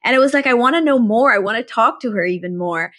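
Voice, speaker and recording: A woman's voice: a little high, lively intonation, fast talker, a bit bright. A woman hosting a podcast, chatting enthusiastically and using plenty of casual fillers. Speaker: podcast host. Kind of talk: casual conversational interview.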